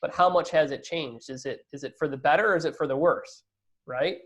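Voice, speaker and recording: A man's voice: fairly high pitch, a little fast. Emphatic man explaining in an interview. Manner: emphatic, checks with rhetorical "right?". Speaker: investor being interviewed. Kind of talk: conversational business interview.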